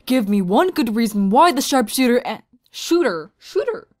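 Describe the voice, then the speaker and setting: A woman's voice: fairly high pitch, big pitch swings, normal speed, darkish tone, faint music behind. A woman reading scripted drama lines as an amateur voice actor, breaking off into playful asides.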